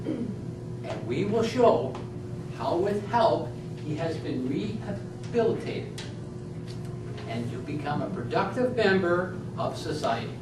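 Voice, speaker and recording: A woman's voice: lowish voice, sing-song, slow pace, pauses often. A woman playing an emphatic, challenging defense lawyer in an amateur stage courtroom play. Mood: declamatory. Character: dramatic, challenging defense lawyer in mock trial. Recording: echoey distant room, noisy.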